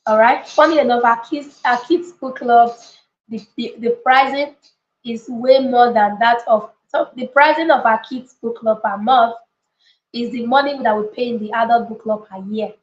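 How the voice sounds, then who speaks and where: A woman's voice: fairly high pitch, moderate pace. A woman coach leading an informal motivational live lesson, speaking emphatically, repeating key phrases and checking in with her listeners. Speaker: coach and book club leader hosting a live session. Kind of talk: motivational live teaching with informal chat to viewers. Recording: echoey room, slightly dull lo-fi audio.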